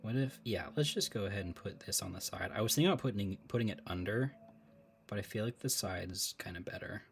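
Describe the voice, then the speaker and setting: A man talking casually on a live stream while he draws, thinking out loud, laughing often and chatting with viewers. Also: lowish voice, fast, vocal fry, a little hiss or hum.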